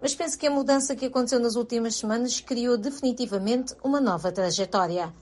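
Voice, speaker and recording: A woman's voice: on the high side, fast talker, darkish tone. Woman dubbing a news soundbite, plain chatty voice. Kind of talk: dubbed voice-over.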